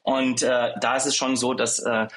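A man's voice: mid-range voice, flat delivery, normal speed, fairly bright tone. A man being interviewed about his company, speaking with many hesitations and fillers. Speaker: startup founder guest.

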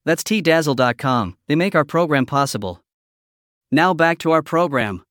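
A man's voice: fairly high pitch, expressive, moderate pace, fairly bright tone, no room sound, clean studio sound. A man reading a scripted sponsor message as an announcer. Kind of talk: scripted ad read.

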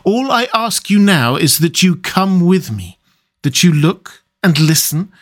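A man's voice: fairly high pitch, expressive, measured pace, fairly bright tone, pitched above the performer's own voice. A man voicing a grave, stern but compassionate old professor in an audiobook, speaking in solemn, earnest, formal phrases. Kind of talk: dramatic audiobook character reading.

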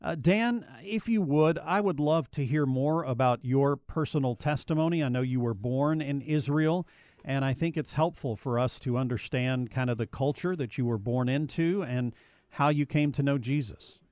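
A man's voice: medium pitch, normal speed, darkish tone, dry recording. A man sitting in as host of a radio talk show, introducing his guest in a friendly, conversational way.

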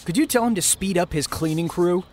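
Entitled male heir, played by an actor, higher-pitched, smug and irritable, audio drama with ambient noise. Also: brisk, darkish tone, lightly acted. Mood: irritated. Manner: smug, impatient. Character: entitled, irritable male heir to small-town family fortune. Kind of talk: naturalistic audio drama dialogue.

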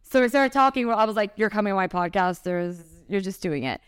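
A young woman hosting her own podcast, bubbly and chatty and quick to laugh. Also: mid-range voice, lively intonation, clear, resonant tone, no room sound, studio quality. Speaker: podcast host. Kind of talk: casual interview chat.

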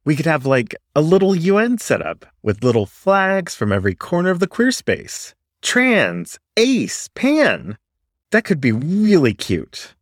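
A man's voice: fairly high pitch, huge pitch swings, measured pace, no room sound, clean studio sound, pitched above the performer's own voice. A man voicing a mild, eager young male raccoon student in a story, casual and tentative.

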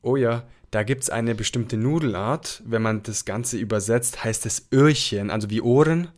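A man's voice: medium pitch, normal speed, a little mellow. A young man from southern Italy, the show's host, giving long, rambling answers with lots of fillers. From Apulia, Italy. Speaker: podcast host. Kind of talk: casual conversation.

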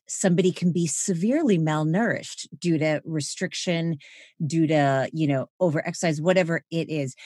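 Slow, deep-voiced woman host. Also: a little mellow, no room sound, clean studio sound. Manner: measured, affirming, probing. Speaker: podcast host. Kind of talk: interview questions.

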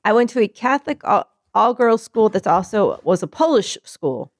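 A woman chatting casually, telling a story and acting out quotes in a higher voice. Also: normal speed, dry recording, studio quality. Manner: animated, acts out quotes in higher voice.

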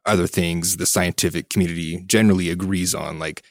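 Deep-voiced man, very dry recording. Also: fairly even intonation, fairly quick, no room sound. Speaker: podcast co-host. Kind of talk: casual discussion.

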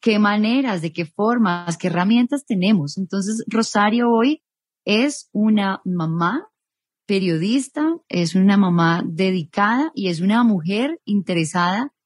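A woman's voice: mid-range voice, animated, a little slow, no room sound, clean studio sound. A Colombian woman in her thirties, a journalist guest in an interview, speaking thoughtfully and rephrasing as she goes. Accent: Colombian Spanish. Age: 30s. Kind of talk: interview conversation.

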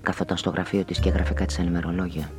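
An actor playing a weary, observant homicide detective who narrates his case in a measured, literary way in a radio play. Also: low voice, flat delivery, natural voice. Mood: detached, reflective. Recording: music under the voice.

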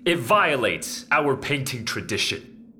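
Haughty, sharp-voiced imperial headmaster. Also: male voice, medium pitch, big pitch swings, slow, slight background noise, heavily acted. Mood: indignant. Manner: pompous, scolding. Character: stern, haughty older male headmaster of imperial painting academy. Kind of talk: radio drama acting.

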